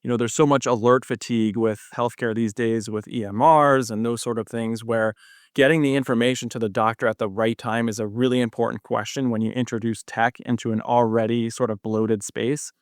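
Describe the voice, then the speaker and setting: A man's voice: medium pitch, little pitch movement, a little fast, no room sound, studio quality. A man speaking as an expert guest in an interview, explaining things conversationally with technical jargon. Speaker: guest physician researcher.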